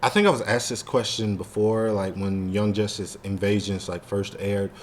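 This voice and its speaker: A man's voice: on the low side, steady tone, normal speed. A man co-hosting a casual fan podcast, talking in a rambling, slangy way with lots of "like". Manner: rambling, heavy use of "like" and slang. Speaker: podcast co-host.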